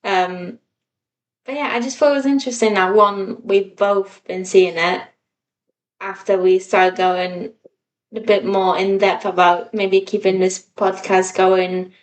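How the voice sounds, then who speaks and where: Woman podcast cohost, bit far from the mic, rambly casual chat, kinda self-deprecating, normal pitch. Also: steady tone, a little slow. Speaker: podcast co-host.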